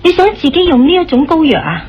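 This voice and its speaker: A woman with a Hong Kong Cantonese accent playing a young, dutiful nurse in a radio drama, gentle and hesitant. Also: sits high, big pitch swings, quick pace, slight background noise. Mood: hesitant, worried. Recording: dull lo-fi radio drama recording. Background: music.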